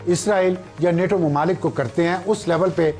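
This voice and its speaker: A man's voice: sits high, moderate pace, darkish tone, soft background music. A man giving political commentary in a spoken opinion style.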